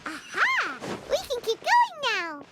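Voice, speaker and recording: A woman voicing a small, childlike companion creature in a video game, excitable and curious, with a squeaky, exclamatory delivery. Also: takes it slowly, loud music behind the voice, heavily acted. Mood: delighted.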